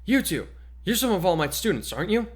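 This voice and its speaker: A man's voice: sits high, sing-song, fast talker, voice pushed up. A man voicing a pushy, eager female news reporter in a story reading.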